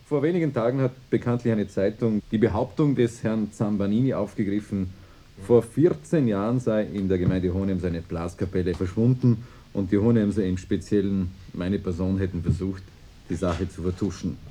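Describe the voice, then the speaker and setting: A man acting a defensive mayor in a radio drama. His voice is low and very dark, his phrasing official and indignant, with some noise on the recording. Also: moderate pace, close to an everyday voice. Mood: indignant, formal. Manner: official, indignant, long-winded bureaucratic phrasing. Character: defensive small-town mayor justifying himself at press conference. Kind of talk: mock documentary radio drama, press conference statement.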